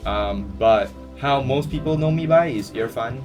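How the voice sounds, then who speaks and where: A young man, talking at an ordinary middle pitch. He rambles through viewer questions in a loose, joking way, dropping slang and filler words. A plucked guitar tune plays clearly behind him. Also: a little slow, lo-fi sound. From Singapore. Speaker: YouTuber answering viewer questions. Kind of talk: casual Q&A chat. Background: guitar music.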